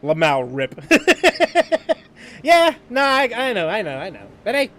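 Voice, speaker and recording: High-pitched man streaming games, lively. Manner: gamer slang, halting repetitions. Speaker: game streamer. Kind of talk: casual livestream chat and reactions. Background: game music.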